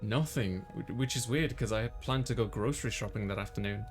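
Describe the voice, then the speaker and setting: A man's voice: lowish voice, brisk, faint music behind. A man reading a visual novel aloud as a casual first-person narration for a playthrough.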